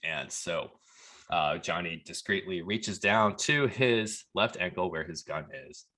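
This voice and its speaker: A man from Texas tells a story on a podcast, loose and conversational. His voice sits low but has a bright, forward edge to it. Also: measured pace. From Texas, USA. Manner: relaxed, chatty, laughs at the absurd parts. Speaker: podcast guest. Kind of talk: casual storytelling.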